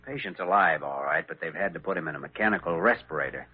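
A man playing an earnest, brisk young hospital physician with a clinical manner, in 1940s radio drama style. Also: sits low, husky. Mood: grave, intent. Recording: dull, noisy vintage radio recording.

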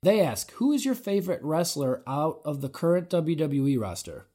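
A man's voice: on the high side, very animated, normal speed, dark tone. A man co-hosting a podcast, upbeat as he reads out listener questions and plugs a website. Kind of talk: casual interview chat.

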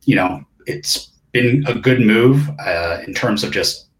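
A man in his forties talking casually as an interview guest, with relaxed, rambling speech full of fillers and restarts; Japanese was his first language. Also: on the low side, slow, low-quality recording. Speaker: guest, session percussionist.

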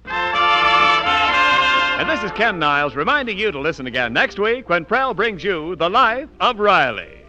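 A man's voice: high voice, big pitch swings, measured pace, long pauses, music in the background, low-quality recording. A man with an American accent announcing and advertising in polished, emphatic 1940s radio style. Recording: thin vintage radio recording.

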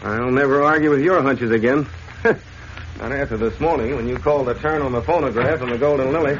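An actor playing a confident, gruff police inspector in a 1940s radio drama, brisk and assured. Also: male voice, medium pitch, natural voice. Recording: muffled, hissy old radio recording.

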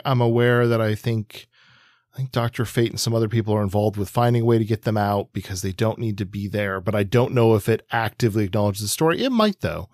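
A man's voice: mid-range voice, no room sound. Rambling male podcast co-host, dry sound. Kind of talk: casual comic book discussion.

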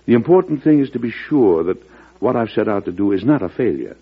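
A male actor playing a weary, earnest early American president in an old-time radio drama, speaking in a measured, formal way. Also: lowish voice, expressive, breathy edge. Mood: firm, resolute. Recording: muffled vintage radio recording.

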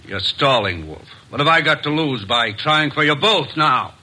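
An actor playing a calculating murderer holding two men at gunpoint in a 1950s radio drama, tense and bargaining. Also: male voice, mid-range voice, expressive, normal speed, bright, forceful tone. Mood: cold, threatening. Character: cornered male murderer, cold and calculating.

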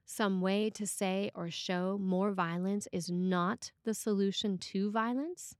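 A woman priest reading a scripted sermon in a measured, deliberate way. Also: medium pitch, fairly even intonation, clean tone, no room sound, clean studio sound.

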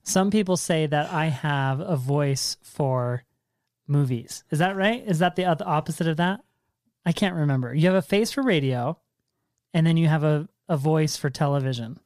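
A man's voice: fairly high pitch, moderate pace, darkish tone, no echo. Man, podcast host, playful, joking, casual chat, dry recording. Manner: playful, jokey. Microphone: handheld recorder.

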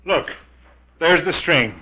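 A hearty, dismissive husband in an old radio thriller. His voice sits fairly high and blusters cheerfully, on a muffled vintage recording. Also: expressive, takes it slowly. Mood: eager. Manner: blustery, jovial, brushing off worries. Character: hearty, dismissive husband, gleeful treasure hunter. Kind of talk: 1940s radio drama acting.

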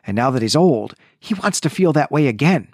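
A man's voice: medium pitch, lively intonation, brisk, darkish tone, no echo, studio quality. A man hosting a podcast, recounting and discussing a story in a conversational way. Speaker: podcast host. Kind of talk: animated story recap and literary discussion.

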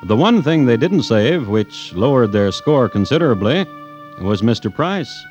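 Animated, wry male storyteller, muffled recording. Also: mid-range voice, soft background music. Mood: wry, grave. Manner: wry, repetitive, deliberate suspenseful phrasing. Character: male storyteller, folksy and wry, narrating a tale. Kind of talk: dramatic radio storytelling.

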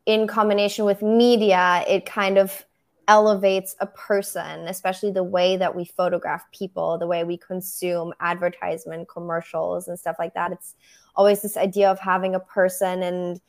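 A young photographer from Germany speaks English. She answers in a measured, reflective way, often pausing on "um" while she builds her points. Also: lowish voice, little pitch movement, darkish tone. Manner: thoughtful, measured, frequent "um". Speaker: photographer, podcast guest. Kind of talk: interview answers.

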